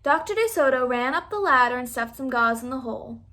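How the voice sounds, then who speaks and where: A woman narrates a children's picture book with plenty of expression. Her voice is very high and bright, suited to read-aloud storytime. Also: animated, fairly quick.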